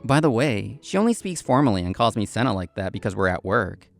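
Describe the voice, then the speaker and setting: Earnest young male café manager narrating a manga story, played by a man; lively intonation, gravelly edge. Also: on the low side, a little fast, faint music behind. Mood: earnest, reflective. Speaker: narrator and lead actor of a manga story video. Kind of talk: scripted first-person narration.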